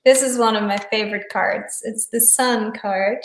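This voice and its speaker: German-native woman, casual interview guest; even, monotone delivery, halting; echoey video-call sound. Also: a little high. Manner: hesitant, many fillers and restarts. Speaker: guest, tarot deck creator and photographer.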